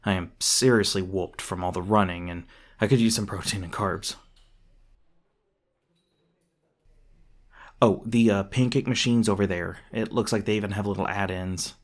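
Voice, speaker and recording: A man playing a gruff, defensive monster hunter who secretly cares, in an intimate roleplay audio drama, with casual, sweary, hesitant speech. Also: lowish voice, steady tone, a little fast, lightly acted. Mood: casual, caring. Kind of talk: intimate ASMR roleplay acting.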